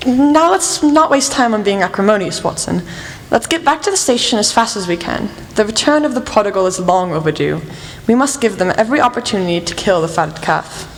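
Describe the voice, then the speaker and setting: A woman playing a shrewd, commanding female detective in a radio play, speaking crisply and formally. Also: mid-range voice, expressive, brisk, a little hiss or hum, close to an everyday voice. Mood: brisk, urgent. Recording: reverberant hall, faint music.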